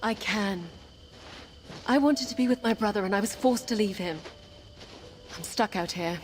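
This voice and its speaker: A woman playing a calm, earnest, determined young blind princess in an audio game. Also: mid-range voice, moderate pace, slight background noise, natural voice. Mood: earnest, resolute. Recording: music behind the voice.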